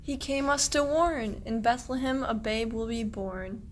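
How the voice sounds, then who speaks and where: A woman's voice: on the high side, measured pace, slight background noise, lightly acted. A woman playing a kindly, devout medieval shepherd, declaiming rhymed verse in a radio play. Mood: hopeful.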